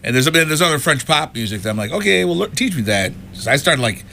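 Older man reminiscing over clear background noise. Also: a little high, animated, fast talker, sharp tone. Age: 60s. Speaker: band musician being interviewed. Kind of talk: casual chat, reminiscing.

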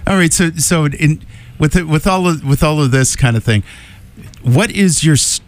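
A man's voice: on the high side, normal speed. A man interviewing a group in a casual, informal way, chipping in with short reactions.

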